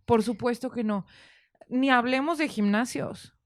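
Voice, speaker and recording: A woman's voice: a little high, a little slow, no echo. A woman with a Mexican accent hosting a conversational interview, speaking in an informal, reflective way as she works toward her questions. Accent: Mexican Spanish. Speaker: podcast host.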